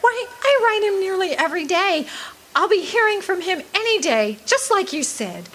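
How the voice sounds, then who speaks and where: A woman's voice: very high-pitched, sing-song, moderate pace, fairly bright tone, a little hiss or hum, full character voice. A woman acting in a radio drama as a hopeful, fragile young sweetheart, earnest and pleading. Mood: trusting, anxious.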